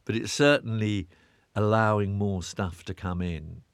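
A man's voice: fairly low pitch, fairly even intonation, measured pace, no echo, studio quality. A British man giving an interview guest's reflective answers, often restarting and repeating words as he searches for a thought.

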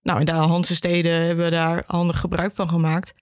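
Even-toned woman telling history informally. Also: fairly low pitch, fairly quick, no room sound, clean studio sound. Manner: informal, retells history with imagined quotes. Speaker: education worker, interview guest. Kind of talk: conversational historical explanation.

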